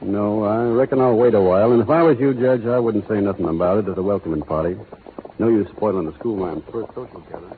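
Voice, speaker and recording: An actor playing a courteous, teasing young American cowboy with folksy, hesitant speech in a 1930s radio western. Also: male voice, on the low side, quick pace, heavy background noise, lightly acted. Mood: guarded, easy. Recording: tinny vintage radio recording, music behind.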